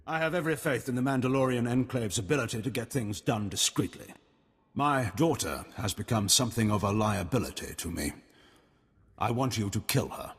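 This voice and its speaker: Haughty game admiral, played by a man: middle-aged, slightly rough voice, icy formality, reverberant room. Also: mid-range voice, brisk, natural voice. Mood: cold, condescending. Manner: formal, condescending. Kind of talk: video game voice acting.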